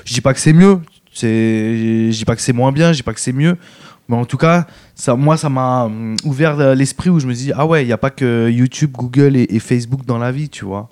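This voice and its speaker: A man's voice: mid-range voice, brisk, darkish tone. A man from France hosting a casual chat, talking loosely and in slang. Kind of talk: casual conversation.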